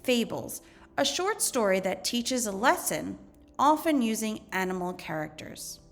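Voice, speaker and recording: A woman teacher reading educational material aloud in a measured, instructional way. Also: mid-range voice, very animated, faint music behind. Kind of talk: educational read-aloud narration.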